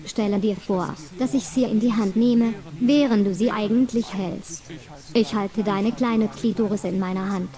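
A young woman with a warm, mellow voice at an ordinary pitch speaks straight to the listener. Her delivery is slow, commanding and insistent, and she repeats phrases in a hypnotic rhythm, as if reading a prepared script. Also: steady tone.

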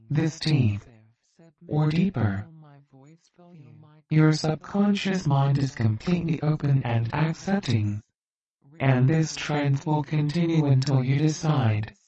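A narrator reading a hypnosis script with slow, soothing, repetitive suggestions. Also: mid-range voice, expressive, dark tone. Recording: distant, dull lo-fi sound.

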